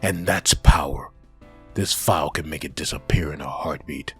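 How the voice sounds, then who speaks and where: A man playing a menacing undead antihero in a comic book audio drama, making slow, commanding threats. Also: a bass voice, vocal fry, slightly rough, soft background music, full character voice. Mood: cold menace.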